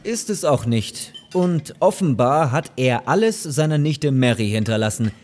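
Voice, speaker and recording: A man playing a polite, matter-of-fact lawyer in a German audio drama, measured and a little hesitant. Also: mid-range voice, lively intonation, a little mellow, close to an everyday voice. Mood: businesslike.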